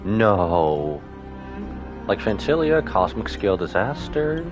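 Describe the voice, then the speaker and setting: Male game streamer, lowish voice, lively swings in pitch, casual and excitable reaction commentary. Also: takes it slowly, dull audio, music in the background. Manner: excitable, exclamatory.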